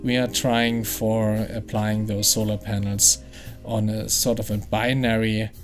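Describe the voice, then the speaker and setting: A man's voice: on the low side, little pitch movement, measured pace, faint music behind. A man with a German accent, German his first language, hosting a game playthrough and explaining his steps in a chatty way that thinks aloud. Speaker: gaming channel host.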